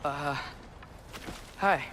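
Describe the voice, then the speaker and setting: A plucky teenage giant boy in a video game, with a high, youthful voice. He talks casually and earnestly, determined underneath. Clear ambient noise sits behind the voice. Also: extremely slow, sharp tone, exaggerated voice. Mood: guarded. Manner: casual, earnest, talking to himself. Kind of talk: naturalistic video game acting.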